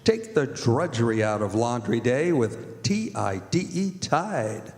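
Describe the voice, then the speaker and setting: A man's voice: mid-range voice, sing-song, a little slow. A man working as announcer in the style of old-time radio, reading credits and sponsor copy. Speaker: announcer and director. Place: performing arts center stage. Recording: echoey hall with music.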